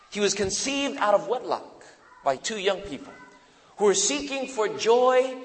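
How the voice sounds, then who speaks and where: A man in his thirties from Hawaii preaches a sermon woven with personal testimony. His voice sits high for a man, yet he lets it sink low and quiet on key phrases, pausing for weight. A long reverb trails every word in the church hall. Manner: slow, dramatic pauses with deep drops for emphasis.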